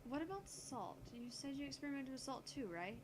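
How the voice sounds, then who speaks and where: A woman's voice: high-pitched, expressive, fairly quick. Woman as a skeptical, snippy young genius scientist. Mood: probing, impatient. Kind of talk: visual novel character dialogue read aloud. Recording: clear background noise.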